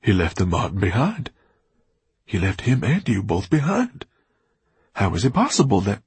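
A man's voice: on the low side, huge pitch swings, normal speed, a little mellow, slightly rough, no room sound, exaggerated voice. A man voicing a grief-stricken, vain and theatrical bookish man who speaks in a dramatic, flowery way. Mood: agitated. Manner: dramatic, flowery, self-absorbed.

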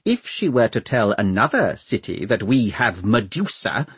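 A man's voice: lowish voice, sing-song, moderate pace, a bit bright, breathy edge, dry recording, full character voice. A man voicing a cold, imperious old Lord Mayor with a thin voice and a clipped manner, in an audiobook. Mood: stern, suspicious. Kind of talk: audiobook character voice.

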